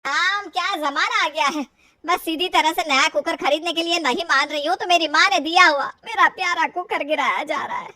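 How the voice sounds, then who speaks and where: Woman acting a high-pitched scolding old mother-in-law. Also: moderate pace, pushed and bright. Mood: indignant, stubborn. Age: older. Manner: scolding, complaining.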